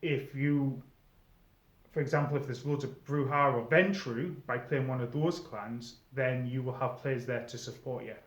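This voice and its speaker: A middle-aged man talks in a conversational, explanatory way, as if giving friendly tips on video. His voice sits at a medium pitch. The recording is slightly dull, with clear room echo. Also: steady tone, moderate pace. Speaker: presenter, former game storyteller. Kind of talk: informal advice video monologue.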